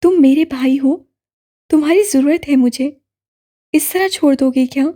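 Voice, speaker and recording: A woman's voice: high voice, steady tone, warm tone, lightly acted. A woman voicing a young woman pleading with her brother, then crying out and cursing him, in an audiobook. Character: young woman, distraught pleading elder sister. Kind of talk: audiobook character voice.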